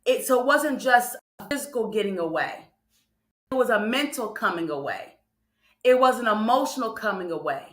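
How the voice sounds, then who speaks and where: A woman's voice: fairly high pitch, animated, normal speed, fairly bright tone. A woman giving a motivational sermon in numbered points, emphatic and repeating phrases for stress, with conversational asides to her listeners. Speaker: motivational speaker and preacher on a morning show. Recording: roomy, echoing livestream sound.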